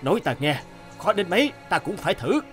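Man acting an earnest, lively cartoon king. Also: high voice, fairly quick, soft background music. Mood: anxious, determined. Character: devoted, anxious middle-aged king.

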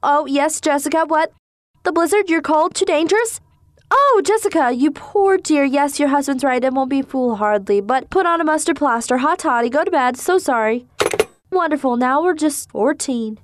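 A woman's voice: high-pitched, lively intonation, normal speed. A woman playing an imperious, exasperated society hostess and overbearing mother in a stagy radio drama. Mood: gushing, dismayed. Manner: theatrical, exasperated, self-pitying.

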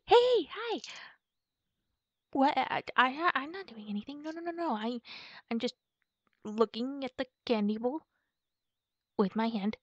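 A woman's voice: on the high side, a bit bright, no echo, heavily acted. A woman playing a playful, sneaky, easily flustered girlfriend in a silly ASMR roleplay, with an over-innocent manner. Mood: startled, flustered. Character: sneaky, flustered girlfriend who loves candy.

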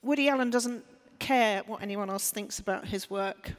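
A woman's voice: mid-range voice, normal speed. A woman speaking on a panel discussion, thinking aloud with restarts and checking she is understood. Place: large hall on stage. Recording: hall reverb. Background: hall reverb.

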